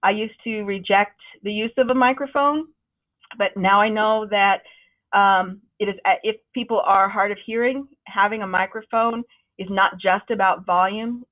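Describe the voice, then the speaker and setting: A woman leading a webinar on presenting, with a loud, projecting voice that is starting to wear out, talking in a conversational, encouraging way with frequent ums. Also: mid-range voice, fairly even intonation, normal speed. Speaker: presentation trainer. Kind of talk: webinar talk. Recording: narrow, phone-like webinar audio.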